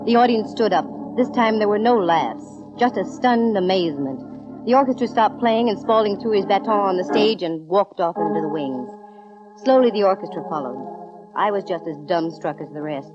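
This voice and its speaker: A woman's voice: medium pitch, animated, moderate pace, close to an everyday voice. An actress playing a wisecracking, confident female private eye, narrating and talking in 1940s radio drama style. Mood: brisk, intrigued. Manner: breezy, slangy wisecracks. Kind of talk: 1940s radio detective drama acting, first-person narration. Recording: dull vintage radio recording with music.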